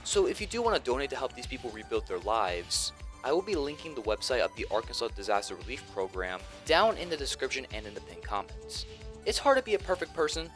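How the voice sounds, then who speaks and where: A man hosts with a scripted address straight to his viewers. His mid-range voice swings widely in pitch and moves along quickly, over faint music. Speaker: video host. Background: background music.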